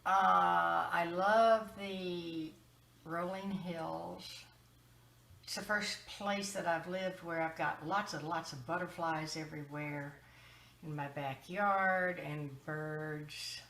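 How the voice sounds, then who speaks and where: A woman's voice: fairly low pitch, fairly even intonation, slow, creaky, a bit bright. A woman from Ohio introducing herself on a video call, musing and searching for words. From Ohio, United States. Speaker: meeting participant. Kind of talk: casual self-introduction. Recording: roomy video call, faint noise.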